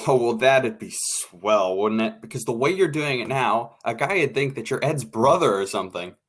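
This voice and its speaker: A man's voice: mid-range voice, lively intonation, normal speed, fairly bright tone. A man acting in an old-time radio drama as an impatient, sarcastic, slangy radio director. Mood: exasperated.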